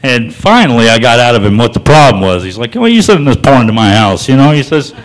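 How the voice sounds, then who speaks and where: A man performing a stand-up comedy set in a comedy club, telling stories in a deadpan, folksy drawl that builds to loud punchlines. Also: medium pitch, quick pace, rough recording.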